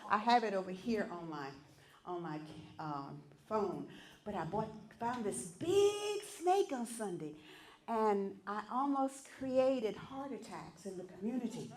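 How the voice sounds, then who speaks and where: A woman's voice: mid-range voice, animated, a little slow. A woman, chatting folksily with an audience of elders and coaxing them to join in. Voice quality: loud voice. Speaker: event entertainer leading songs and games. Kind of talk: casual talk to an audience of elders. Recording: echoey room.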